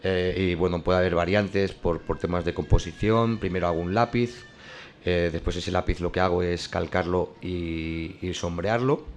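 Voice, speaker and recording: A man's voice: low voice, steady tone, normal speed, a little hiss or hum. A man who works as a comic artist, explaining his drawing process in a hesitant way, with many restarts and fillers. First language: not French.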